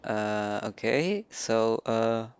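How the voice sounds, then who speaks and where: A man's voice: lowish voice, lively intonation, extremely slow, smooth. A man streaming a video game, giving casual reaction commentary as he plays. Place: indoors at a computer. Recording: muffled mic over soft game music.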